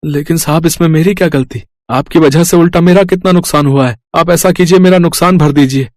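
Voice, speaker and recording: A man's voice: fairly high pitch, brisk, darkish tone, dry recording, studio quality, close to an everyday voice. Earnest poor village husband , acted by a man. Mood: pleading. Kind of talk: scripted story dialogue.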